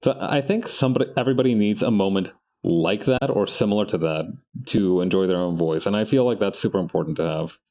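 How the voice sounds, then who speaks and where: A man's voice: low voice, quick pace, mellow. A man streaming his art, chatting casually and rambling to himself as he works, often restarting his sentences. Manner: rambling, self-correcting, talks to himself as he works. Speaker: artist streaming his work. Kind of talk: casual live-stream chat while drawing.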